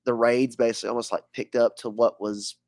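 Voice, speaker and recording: A man's voice: medium pitch, flat delivery, a little fast, darkish tone, no room sound, studio quality. A man hosting a podcast interview with a scratchy voice, hesitant and full of fillers. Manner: hesitant, many fillers and restarts. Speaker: podcast host.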